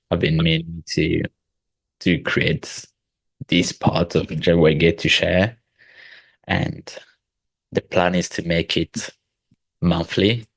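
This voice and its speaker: A man with the flu hosting a casual video call, relaxed and given to laughing and asking thoughtful questions. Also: sits low, gravelly, slightly rough, dry recording, low-quality recording. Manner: relaxed, laughs often, asks reflective questions. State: sick with flu. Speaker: host.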